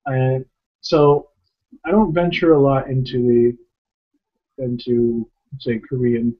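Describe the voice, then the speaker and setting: A man's voice: mid-range voice, takes it slowly. An American man from upstate New York co-hosting a movie review in a casual, conversational way. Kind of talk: casual film discussion. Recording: dull lo-fi recording.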